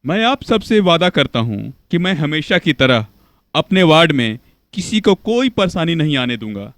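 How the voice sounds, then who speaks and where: A man acting a bossy corrupt politician, slightly high-voiced. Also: sing-song, normal speed, a bit bright, no room sound. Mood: pompous speechifying. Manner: domineering, self-important. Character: bossy, corrupt, boastful local politician husband. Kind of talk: Hindi cartoon voice acting.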